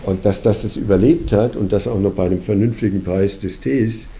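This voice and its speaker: A man hosting a conversation, a company founder who lectures reflectively and argues his points with many pauses and fillers. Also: fairly low pitch, dark tone. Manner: reflective, argumentative, hesitant with fillers.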